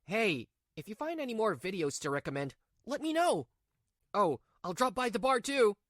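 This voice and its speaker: A woman's voice: mid-range voice, very animated, normal speed, no echo, studio quality, natural voice. A woman voicing a selfish, irritable cheating husband with a dismissive manner in a manga dub. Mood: cheerful. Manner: dismissive, snappy when challenged. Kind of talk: manga dub character acting.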